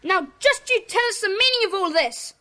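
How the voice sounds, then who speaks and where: A woman playing a bossy, sensible schoolboy gang leader with a brisk, commanding manner in a children's audio drama. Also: very high-pitched, lively intonation, sharp tone, big-room sound, exaggerated voice. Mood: indignant.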